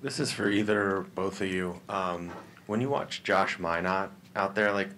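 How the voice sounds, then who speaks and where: Male reporter, very low voice, rambling question, faint room noise. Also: moderate pace, a bit bright. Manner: rambling question, many fillers.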